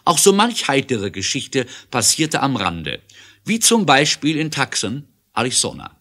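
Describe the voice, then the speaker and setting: Male audio-drama narrator, declamatory and emphatic, medium register with wide expressive pitch movement. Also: a little slow. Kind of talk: dramatic scripted radio play narration.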